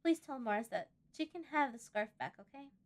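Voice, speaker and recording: Lady doing a shy sweet little girl in a game, gentle and timid, highish voice, lilting up and down. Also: lively intonation, moderate pace. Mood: sweet, fond.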